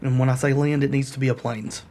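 A man's voice: medium pitch, level tone, quick pace, warm tone, a little hiss or hum. A man commentating his own online card game, explaining card rules and then muttering along with the plays while he recovers from a cold. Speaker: gameplay commentator. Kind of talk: casual gameplay commentary.

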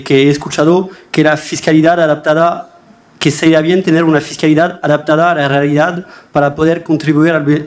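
Young man, slightly high voice, hesitant, many fillers, panel answer, desk mic, large chamber. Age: young adult. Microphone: desk microphone.

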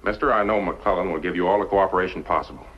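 An actor playing an earnest, friendly frontier marshal in a 1950s television western. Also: male voice, low-pitched, fast, breathy edge. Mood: concerned, friendly. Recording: muffled, noisy old television soundtrack.

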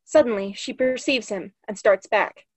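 A woman's voice: a little high, moderate pace, dry recording. A young woman reading stage directions as scripted narration. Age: young adult. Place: small lit room at home, on a video call.

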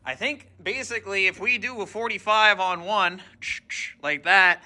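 Young guy in a big garage, highish bright voice, laid back, talking straight to the camera. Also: moderate pace. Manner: easygoing, self-deprecating, talks straight to viewers. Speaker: garage builder showing a project. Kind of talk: casual how-to workshop vlog. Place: large indoor workshop garage. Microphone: handheld or body-worn action camera.

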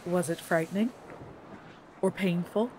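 A woman playing a patient, thoughtful character in an audio drama, speaking in a measured, attentive way. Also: on the low side, a little mellow, a little hiss or hum, natural voice. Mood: attentive, gentle. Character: patient, thoughtful woman gently questioning a friend. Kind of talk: naturalistic audio drama acting.

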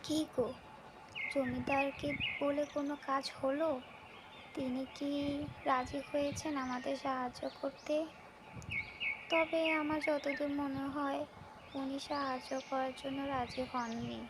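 Woman playing a high-voiced village wife. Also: level tone, measured pace, pauses often, heavy background noise. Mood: questioning, doubtful. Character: doubtful, practical village wife. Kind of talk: Bengali cartoon character voice acting.

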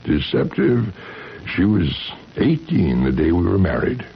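An actor playing a courteous, hesitant elderly husband with a gravelly voice in a 1970s radio drama. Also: male voice, sits low, sing-song, full character voice. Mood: courteous, amused. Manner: polite, halting. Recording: muffled, hissy vintage radio broadcast.

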